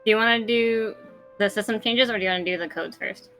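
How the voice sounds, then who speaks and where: Female stream guest, medium pitch, casual chat, music in background. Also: fast, a bit bright.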